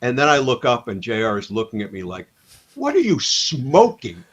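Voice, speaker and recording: A man's voice: medium pitch, huge pitch swings, normal speed, highs cut off. A man, a comic book writer, talking casually as a guest in an interview, reflective and full of asides.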